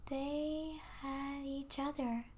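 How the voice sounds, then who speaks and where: High, slow-speaking vampire princess, acted by a woman. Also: fairly even intonation, a little hiss or hum. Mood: wistful, intimate. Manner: soft, lingering, breathy asides, regal and doting. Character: possessive yet tender vampire princess, mistress of a human pet. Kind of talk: ASMR roleplay, intimate scripted monologue.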